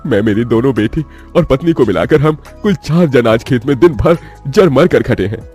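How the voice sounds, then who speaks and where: A man's voice: medium pitch, expressive, normal speed, a little mellow, slightly rough, soft background music. A man playing a poor, anxious, humble middle-aged labourer father in a cartoon moral story, speaking in a pleading way. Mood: pleading protest.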